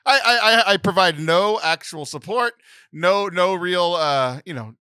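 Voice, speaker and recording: A man's voice: high voice, unhurried, pushed and bright, clear, resonant tone, dry recording. A man co-hosting a casual podcast, joking and often laughing, on a close mic. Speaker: podcast co-host.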